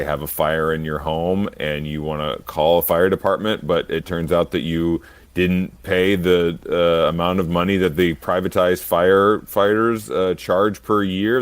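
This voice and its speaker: A man, a guest on a radio panel, answering in a measured, calm way. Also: deep voice, no echo. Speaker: magazine editor guest.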